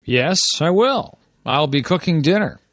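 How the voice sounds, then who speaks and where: A man's voice: fairly high pitch, expressive, unhurried, no room sound, studio quality. A man playing an easygoing, reassuring friend answering a call in scripted lesson dialogue for language learners.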